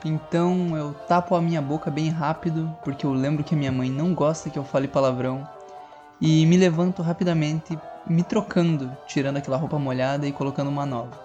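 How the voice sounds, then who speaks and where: A player narrating their child character's morning in the first person, brisk and comic. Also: mid-range voice, fairly even intonation, mellow, muffled recording, soft background music. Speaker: tabletop player.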